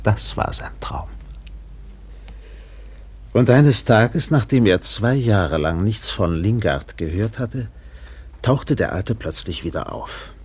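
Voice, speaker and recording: An actor playing a seasoned sea captain who narrates a radio play in a measured, deliberate way with swelling emphasis. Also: male voice, on the low side, animated, darkish tone, breathy edge, heavily acted. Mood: brooding, wistful. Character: weathered sea captain recalling a doomed trader in Borneo.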